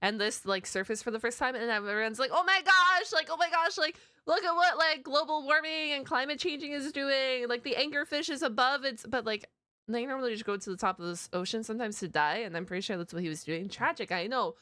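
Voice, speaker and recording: A woman's voice: high voice, fairly quick, bright, forceful tone, no echo, clean studio sound. A woman hosting a reaction video, commenting casually in a slangy, exclamatory way.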